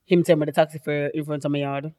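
A woman's voice: low-pitched, little pitch movement, fast, warm tone, no echo, studio quality. A young Jamaican woman co-hosting a podcast, chatting and joking with frequent laughter. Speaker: podcast co-host. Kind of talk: casual chat.

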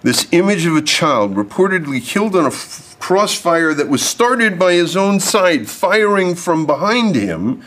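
A man's voice: high-pitched, sing-song, a little slow, hardly any pauses, fairly bright tone. A man delivering a prepared lecture to an audience, reading formal text and adding off-script asides. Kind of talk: prepared speech read to an audience.

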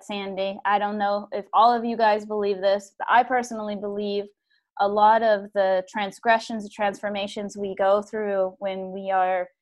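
Chatty laughing woman podcast host. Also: mid-range voice. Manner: lively, chatty, laughs often. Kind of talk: casual podcast conversation.

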